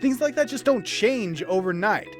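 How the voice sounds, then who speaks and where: A man giving an enthusiastic, animated scripted review as a cartoon episode commentator, with instrumental music behind him. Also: high voice, moderate pace. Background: instrumental background music.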